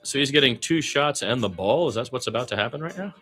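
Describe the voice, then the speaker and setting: A man with a mid-pitched voice calls basketball play by play in a radio style. He talks like an amateur fan at the mic, conversational and opinionated between the plays. Faint music sits behind his voice. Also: lively intonation, fast talker, sharp tone, breathy edge.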